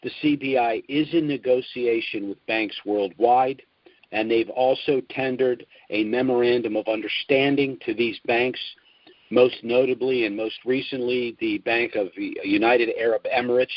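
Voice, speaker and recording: An older man with an American accent briefs listeners on a conference call. His delivery is measured and he leans on key points. The audio is dull and very dry, with little room sound. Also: medium pitch, fairly even intonation, no room sound. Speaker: spokesman and host of a conference call. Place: at home, recorded over a conference call.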